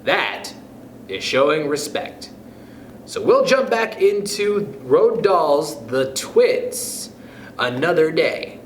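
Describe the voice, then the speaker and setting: A man hosting storytime for children, reading aloud expressively with dramatic, deliberate phrasing and pauses. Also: fairly high pitch, very animated. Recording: echoey room with background noise.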